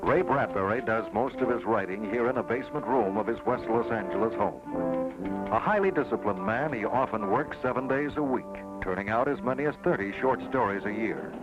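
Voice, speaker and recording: A man's voice: medium pitch, brisk. A man reading scripted documentary narration. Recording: dull vintage film soundtrack, music behind. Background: music.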